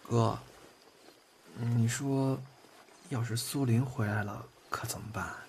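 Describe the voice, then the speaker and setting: A man's voice: medium pitch, fairly even intonation, slow pace, noisy background. A man playing a shy, eager young boyfriend in a Chinese audio drama, given to flustered stammering. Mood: anxious.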